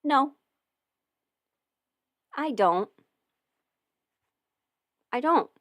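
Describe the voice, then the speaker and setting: A woman's voice: high-pitched, expressive, drawn-out words, a bit bright, no room sound. Woman thinking aloud, dry close sound. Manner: hesitant, self-interrupting, thinking aloud. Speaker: livestream host, audio roleplay creator. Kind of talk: casual rambling livestream Q&A.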